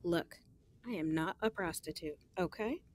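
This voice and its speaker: A woman's voice: lowish voice, moderate pace, no echo, lightly acted. An actress playing a guarded but smitten young woman, chatting in sassy, slangy style with her best friend in an audio drama. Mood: defensive. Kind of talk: casual conversational audio drama.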